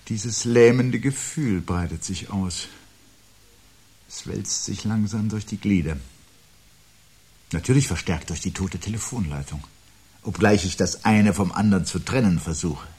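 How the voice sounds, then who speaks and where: A brooding, anxious prophet of doom in a seventies radio drama. His voice is very low and husky, and he delivers his thoughts gravely and deliberately, in articulate, philosophical phrases. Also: darkish tone. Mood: weary, oppressed. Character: brooding, anxious man prophesying the end of life. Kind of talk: 1970s German radio play acting.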